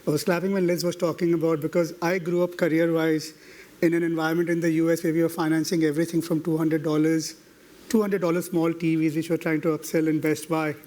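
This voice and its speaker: A man with a fairly high voice speaks as a panelist at a conference. He lays out his thoughts confidently in ordered points, with a few restarts. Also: flat delivery, fast talker, darkish tone. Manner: confident, explanatory, structured points. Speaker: banking executive, panelist. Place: conference stage.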